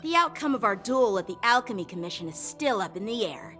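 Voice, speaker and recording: A woman voicing a cool, challenging young alchemist who speaks calmly. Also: mid-range voice, brisk. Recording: music clearly audible under dialogue.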